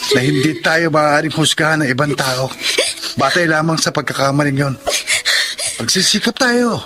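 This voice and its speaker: A man's voice: on the high side, moderate pace, lightly acted. A man acting a devoted, comforting young husband and father with a Filipino accent in an audio melodrama. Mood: soothing, earnest. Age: young adult.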